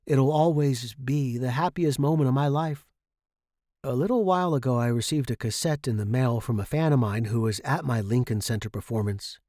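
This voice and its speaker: Guy reading a short story in first person, medium pitch, dry sound, expressive narration. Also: moderate pace, dry recording.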